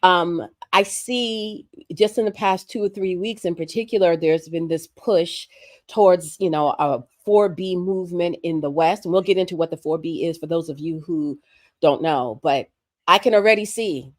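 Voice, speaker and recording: A hoarse woman, sick with a respiratory virus, making earnest, emphatic points as a guest on a livestream conversation. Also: lowish voice, moderate pace, no room sound, clean studio sound. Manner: earnest, emphatic, building points through repetition. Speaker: guest commentator.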